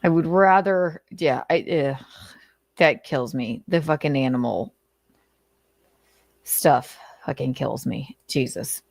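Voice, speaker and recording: A woman's voice: very deep voice, slow, gravelly, long pauses, no echo. A woman livestream host doing a dramatic reading, breaking off into sweary, sarcastic casual commentary. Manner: sweary, sardonic asides.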